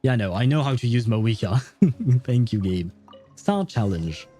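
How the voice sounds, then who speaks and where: A man's voice: fairly low pitch, lively intonation, unhurried, warm tone, soft background music. A man livestreaming a video game, chatting casually with viewers in rambling, self-deprecating banter. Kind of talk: casual livestream chat while gaming.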